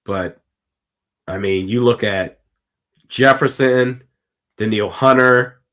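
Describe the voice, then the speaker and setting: A man whose voice runs a little raspy and hoarse from weeks of yelling. He calls plays as they happen and chats loosely with his viewers, speaking at a medium pitch. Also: takes it slowly. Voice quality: slightly raspy. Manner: casual, talks to chat, reads comments aloud. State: hoarse from screaming. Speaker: watch party streamer. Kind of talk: live game commentary and chat reaction.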